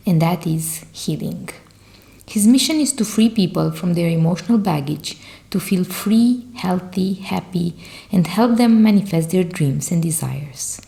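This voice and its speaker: A woman hosting a podcast, reading a measured introduction of her guest and then asking him questions. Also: on the low side, vocal fry, warm tone. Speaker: podcast host.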